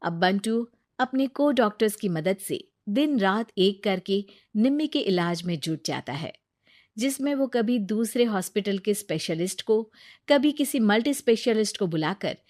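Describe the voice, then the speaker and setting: A woman narrating a scripted moral story in an even storytelling manner. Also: medium pitch, unhurried, no echo, studio quality.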